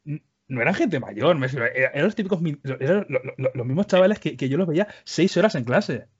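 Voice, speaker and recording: A man's voice: on the high side, animated, fast talker, husky. A man from Spain with a Spanish accent, co-hosting a casual podcast chat, talking hesitantly with many restarts and repeated words. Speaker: podcast co-host. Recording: muffled lo-fi audio.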